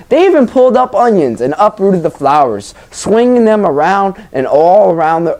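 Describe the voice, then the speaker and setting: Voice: medium pitch, a little mellow. A teacher reading a story aloud expressively to children, stopping to ask them questions in an informal, engaging way. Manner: engaging, informal, addresses listeners as guys. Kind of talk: expressive read-aloud with questions to young listeners.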